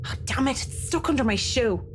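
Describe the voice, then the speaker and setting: Woman acting a high-voiced, exasperated young heroine. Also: lively intonation, a little fast, a little hiss or hum. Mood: annoyed, sceptical. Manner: grumbling, incredulous. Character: sceptical, exasperated young woman exploring an old mansion.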